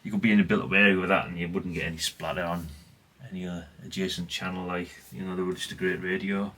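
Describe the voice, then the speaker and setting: A man's voice: low-pitched, flat delivery, quick pace, sharp tone. A middle-aged man chatting casually and colloquially in a rambling vlog, often tagging questions onto what he says. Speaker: vlogger, radio collector.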